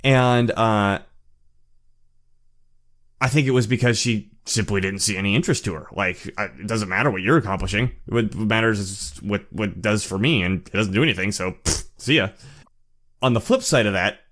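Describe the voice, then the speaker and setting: A man's voice: on the low side, a bit bright. A man speaking in a casual personal monologue, candid and reflective, often stumbling and restarting his words. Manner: halting, with frequent stammered restarts. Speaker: vlogger sharing personal experience.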